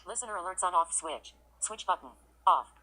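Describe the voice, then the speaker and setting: A woman's voice: on the low side, animated, a little fast, pushed and bright. A synthetic woman's voice working as a screen reader, giving clipped announcements of menus and buttons. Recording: clearly noisy, with clicks and tapping.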